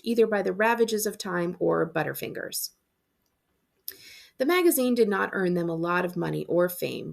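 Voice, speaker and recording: Creaky-voiced woman reading a storybook aloud. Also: medium pitch. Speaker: school librarian reading aloud. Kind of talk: expressive storybook narration.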